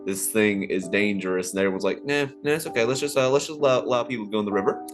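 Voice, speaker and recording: A man with a lowish voice conducts a casual interview. He talks in a halting, wordy way with plenty of "like", over faint plucked guitar music. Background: soft plucked guitar music.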